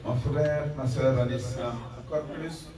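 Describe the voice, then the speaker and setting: A man preaching a Friday sermon as imam in a mosque, building to emphatic raised phrases and reciting Arabic verses in quick chanted runs. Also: mid-range voice, fairly even intonation. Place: mosque prayer hall. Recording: echoey hall from far off, crowd behind.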